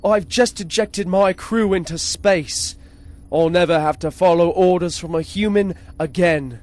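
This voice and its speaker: An actor playing a polite, formal shipboard artificial intelligence with a gleeful murderous streak, in a 1950s-style space radio serial. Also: male voice, sits high, a little slow, a little mellow. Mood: melodramatic defiance. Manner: formal, courteous, precise wording. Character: polite, formal male ship's computer with murderous glee.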